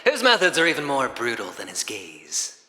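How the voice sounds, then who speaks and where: An actor playing a suave, amused male gambler in a video game, with a smooth, mocking manner. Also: medium pitch, wildly swooping pitch, moderate pace, sharp tone, echoey room, big-room sound, exaggerated voice.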